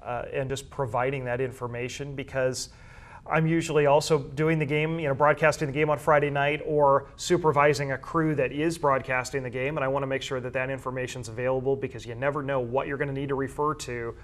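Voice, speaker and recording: A man's voice: medium pitch, steady tone, fairly quick. A man speaking as an interview guest, explaining school sports media work in a conversational way and often rephrasing his points. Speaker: guest, high school athletics media coordinator and broadcaster.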